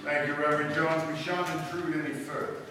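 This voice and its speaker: A man's voice: fairly high pitch, flat delivery, brisk, close to an everyday voice. A man playing a courteous, confident detective in an old-time radio mystery, with polite, formal, decisive speech. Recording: echoey noisy hall, distant mic.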